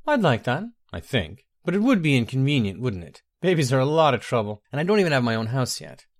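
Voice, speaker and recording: A man's voice: mid-range voice, very animated, fast talker, pitched above the performer's own voice, natural voice. A man voicing an eager, stubborn young female elf thief in a raised voice.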